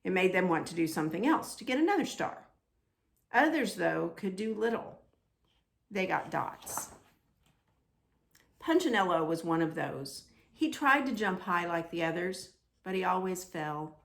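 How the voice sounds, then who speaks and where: A woman reading aloud to children in an expressive storytelling style, with deliberate emphasis on simple phrases. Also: on the low side, vocal fry.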